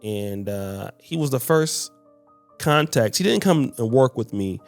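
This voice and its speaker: A man's voice: mid-range voice, expressive, unhurried, creaky, faint music behind. A man hosting a podcast discussion, often stumbling and repeating words as he searches for his phrasing. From United States. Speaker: podcast host.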